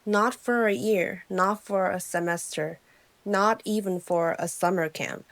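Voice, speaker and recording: A young woman from Korea tells her story to camera in native English. Her tone is wry and reflective, measured rather than showy, with a mid-range voice that sits neither high nor low. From South Korea. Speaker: English teacher and channel host.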